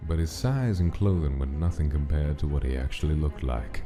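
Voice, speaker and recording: A man's voice: a bass voice, brisk, warm tone. A man reading scripted story narration as the narrator of a drama. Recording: music clearly under the narration.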